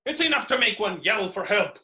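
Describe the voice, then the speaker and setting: Sharp, blustering, hot-tempered creditor. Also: male voice, high voice, animated, brisk, full character voice. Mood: raging. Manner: ranting, exclamatory, exasperated, melodramatic. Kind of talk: theatrical staged reading of a stage comedy. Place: indoor room, recorded remotely. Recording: roomy, echoing virtual staged-reading recording.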